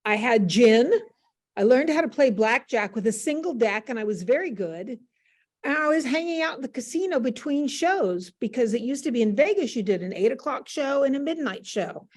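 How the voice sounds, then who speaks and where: Female, 70s, American, fairly high voice, blunt unfussy storyteller in relaxed interview. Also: moderate pace. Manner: frank, matter-of-fact. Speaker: interview guest, former stage performer. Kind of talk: conversational reminiscing. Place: video call.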